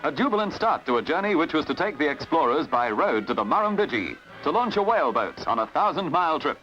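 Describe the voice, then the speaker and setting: A man with an Australian accent narrating a newsreel in a brisk announcer style, on a dull old archival recording with music behind. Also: fairly high pitch. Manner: brisk announcer delivery with lively intonation.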